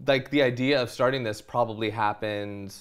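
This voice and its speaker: A man's voice: lowish voice, fairly even intonation, normal speed. A man in his thirties hosting a casual interview, talking informally and thinking aloud as he rambles along. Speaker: podcast host, TV producer. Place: small furnished indoor set with curtains.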